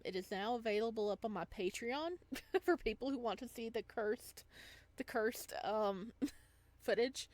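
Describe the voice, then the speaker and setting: Excitable, giggly woman reacting to a game. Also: medium pitch, dry recording. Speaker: gameplay video host. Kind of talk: let's-play, reading visual novel aloud and reacting.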